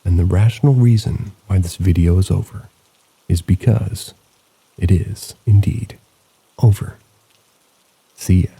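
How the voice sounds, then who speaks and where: A man narrates eerie first-person stories in a low, gravelly voice. His tone is very dark and husky, and he keeps a measured, low-key storytelling pace. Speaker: host and narrator. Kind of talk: reading listener stories aloud.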